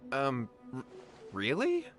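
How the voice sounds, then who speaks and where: A man's voice: mid-range voice, wildly swooping pitch, slow pace. A man playing an earnest, anxious young martial artist in a video game, hesitant and respectful. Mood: deferential. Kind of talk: video game character dialogue. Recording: music behind the voice.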